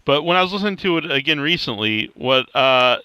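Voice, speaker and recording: A man's voice: medium pitch, animated, a little fast, pushed and bright, clean tone, no echo. A man hosting a podcast in a casual, hesitant way, often repeating himself and backtracking. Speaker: podcast host, interviewer. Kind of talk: casual chat.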